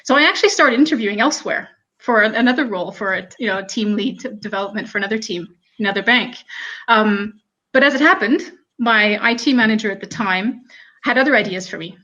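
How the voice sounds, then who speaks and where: A woman in her thirties with a Canadian accent, telling her career story in a relaxed talk full of ums and "you know". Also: mid-range voice, fast. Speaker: panel speaker. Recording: muffled lo-fi audio.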